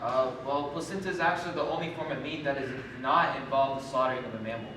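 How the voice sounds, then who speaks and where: A man's voice: mid-range voice, fast talker, close to an everyday voice. A man acting an earnest, matter-of-fact new father in a stage comedy, explaining and sometimes stumbling over words. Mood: earnest, defensive. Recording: very echoey, noisy room, far from mic.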